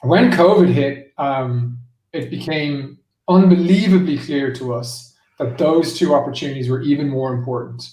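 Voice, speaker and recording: A man's voice: on the high side, moderate pace, darkish tone. A man answering as a guest in a business interview, explaining things conversationally with plenty of "like" and "you know". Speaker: interview guest, marketing executive. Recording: roomy echo, distant from the mic.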